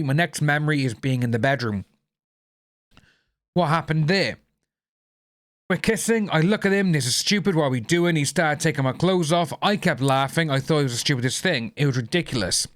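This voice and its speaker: A man with a Welsh accent, a YouTube commentator reading courtroom testimony aloud in a steady, low-key way and adding short apologetic asides. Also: no echo, clean studio sound.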